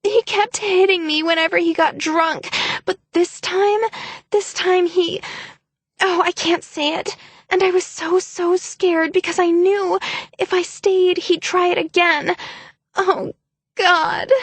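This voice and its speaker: A woman's voice: very high voice, steady tone, slow, no room sound, pitched above the performer's own voice, heavily acted. A woman voicing a teenage mermaid girl who fakes hysterical terror, wailing and sobbing. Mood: sobbing frantic.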